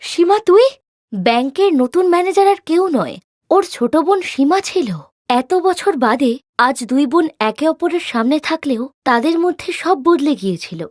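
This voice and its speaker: A woman's voice: high voice, very animated, moderate pace, dry recording, studio quality. A woman narrating a scripted cartoon story as a storyteller.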